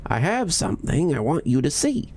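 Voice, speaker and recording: A man plays a small, sinister creature from a horror storybook. It coaxes and insists with false friendliness, its pitch moving freely over a creaky edge. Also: sing-song, normal speed, a little mellow, full character voice. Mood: falsely reassuring. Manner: coaxing, insistent.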